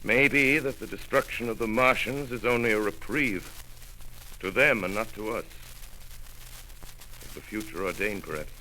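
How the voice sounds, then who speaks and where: An actor playing a solemn middle-aged astronomer who survived the invasion and writes his account, narrating in a grave, halting 1930s radio drama style. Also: on the low side, sharp tone, a little hiss or hum, low-quality recording. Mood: somber.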